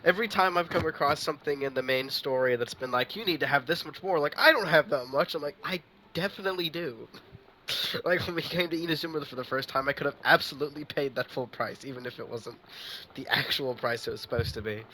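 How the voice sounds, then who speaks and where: A man's voice: fairly high pitch, quick pace, sharp tone, a little hiss or hum, rough recording. A man giving casual, rambling live commentary as he plays a video game. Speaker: game streamer.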